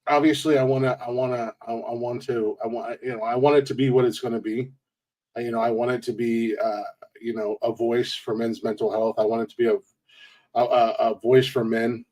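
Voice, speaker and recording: A man's voice: mid-range voice, level tone, fairly quick, a little mellow, rough recording. Rambling man in his forties. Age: 40s. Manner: rambling, restarts often, laughs at own jokes. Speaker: podcast host. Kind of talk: casual podcast chat.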